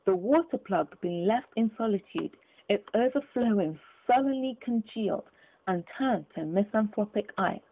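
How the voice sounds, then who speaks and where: A woman's voice: mid-range voice, lively intonation, normal speed. A woman reading a classic storybook aloud as scripted narration. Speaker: audiobook narrator. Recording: muffled phone-like narrow sound.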